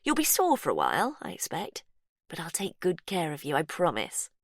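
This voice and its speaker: A woman reading an audiobook, voicing an unhinged, childlike captor who speaks in a high, breathy, sweetly menacing sing-song. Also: huge pitch swings, normal speed, no echo, clean studio sound, full character voice. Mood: creepy sweet.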